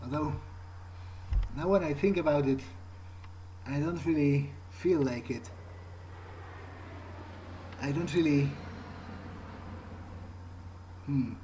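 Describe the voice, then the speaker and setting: A man plays a bewildered young male vampire from a video game, musing to himself. His voice is a little high and very slow, with halting, rambling phrases and a dry, sarcastic streak. There is faint noise behind him. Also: warm tone, poor audio. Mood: dazed, musing. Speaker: gaming role-player. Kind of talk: in-character improvised game monologue, thinking aloud.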